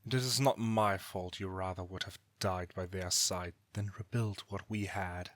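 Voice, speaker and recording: Casting: cold, proud immortal brother played by a man, lowish voice, dry close sound, formal and disdainful. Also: steady tone, fairly bright tone, dry recording. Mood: indignant. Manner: formal, haughty, measured rhetoric. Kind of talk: scripted audio roleplay drama acting.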